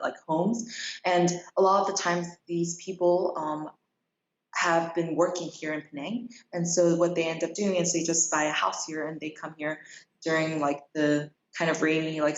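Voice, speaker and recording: A young adult woman from South Korea who grew up in Kenya, chatting casually as an interview guest and peppering her answers with "like". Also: fairly low pitch. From South Korea, raised in Kenya. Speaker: interview guest, middle school teacher. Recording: roomy, dull lo-fi sound.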